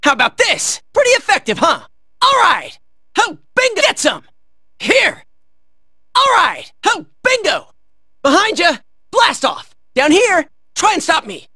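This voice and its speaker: An American man playing a cocky, excitable teenage boy in a video game, barking out brash, high-strung battle lines. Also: unhurried, pauses often, no echo, studio quality, full character voice. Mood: fired-up, cocky.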